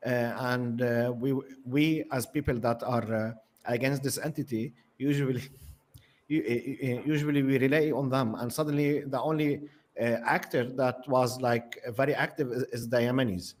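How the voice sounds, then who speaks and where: A man's voice: mid-range voice, fairly even intonation, normal speed. Hesitant man, Arabic native speaker. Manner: hesitant, many fillers and restarts. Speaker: guest commentator. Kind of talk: casual panel discussion.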